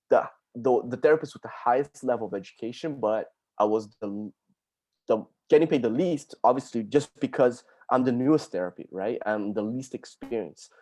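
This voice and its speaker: A man's voice: medium pitch, a little mellow. A man from Brazil, an occupational therapist, chatting casually on a video call to answer student questions, with lots of "dude" and "like".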